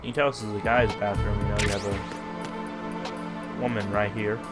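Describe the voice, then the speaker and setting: A man's voice: on the low side, flat delivery, a little fast. A man giving casual, offhand commentary while playing through a video game. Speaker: gamer commentating a walkthrough. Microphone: voice-over over game audio. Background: loud game music.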